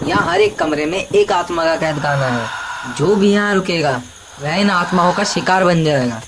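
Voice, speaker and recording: An actor reading out an ominous diary warning in a scripted animated horror story. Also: medium pitch, moderate pace, noisy background, lightly acted.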